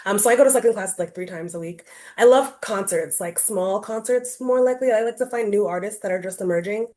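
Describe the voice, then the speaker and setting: A woman's voice: mid-range voice, a little fast, dark tone. A woman from Rhode Island giving a casual online presentation on a video call, chatty and loose, with lots of "um" and "like". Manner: chatty, many fillers like um and like.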